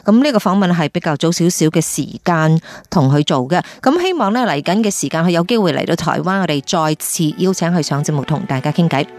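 A woman's voice: lowish voice, expressive, fairly quick, hardly any pauses, a little mellow, no room sound, faint music behind. A woman with a Hong Kong Cantonese accent presenting a radio programme as its host.

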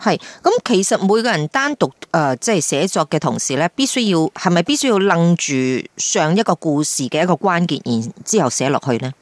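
A man's voice: fairly high pitch, big pitch swings, brisk, few pauses, no echo. A man interviewing on radio, offering brief acknowledgements and questions.

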